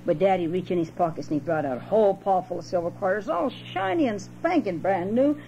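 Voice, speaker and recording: A woman's voice: mid-range voice, lively intonation, fairly quick, mellow. An older woman telling a children's story in folksy dialect, recorded at home on cassette. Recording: dull, noisy home cassette with hum.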